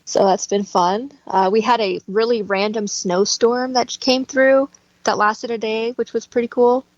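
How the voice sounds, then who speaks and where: An American woman chatting casually as a guest, agreeable and quick to giggle. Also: on the high side, steady tone, no echo, dull audio. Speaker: guest voice performer. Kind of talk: casual chat.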